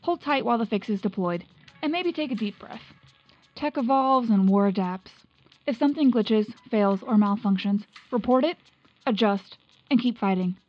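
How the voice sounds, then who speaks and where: A woman playing a wry, deadpan military tech correspondent reading a scripted radio bulletin in clipped phrases. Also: on the high side, moderate pace, lightly acted. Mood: wry, instructive. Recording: muffled lo-fi radio sound with music behind.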